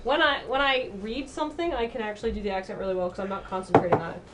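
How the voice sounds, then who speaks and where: A woman's voice: medium pitch, rapid-fire, heavy background noise. A woman from New Zealand, with a New Zealand accent, chatting casually as a charity stream host. She is self-deprecating and often restarts her sentences. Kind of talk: casual chat.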